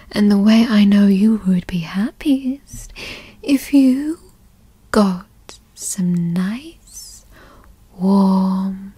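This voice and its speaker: A woman playing a possessive, doting, teasing girlfriend in a whispered ASMR roleplay, coaxing and cooing in a breathy voice. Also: medium pitch, dark tone, dry recording, full character voice. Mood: teasing, indulgent. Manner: coaxing, cooing, slow and indulgent. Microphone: close studio mic.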